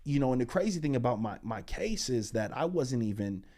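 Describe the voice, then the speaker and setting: A man in his twenties, a pastor and speaker, telling his story as a podcast guest in animated, slangy talk. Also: medium pitch, fairly quick. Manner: animated, slangy storytelling that climbs loud when excited.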